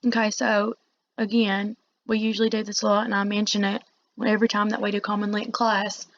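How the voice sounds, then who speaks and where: A woman teacher giving a read-along lecture, reading aloud and pausing to explain in informal asides. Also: medium pitch, flat delivery, slight background noise. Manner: explanatory, pausing to paraphrase the text. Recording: dull, muffled lo-fi recording.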